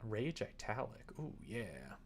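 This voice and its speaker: A man's voice: lowish voice, very animated, slow, slight background noise. Rambling man thinking aloud on a livestream. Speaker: live streamer, amateur game developer. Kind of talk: casual livestream chat while working. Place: indoors at a computer desk.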